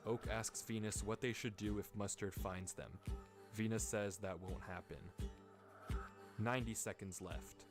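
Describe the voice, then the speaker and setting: Low-voiced man narrating over loud music. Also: steady tone, measured pace. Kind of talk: dramatic countdown narration.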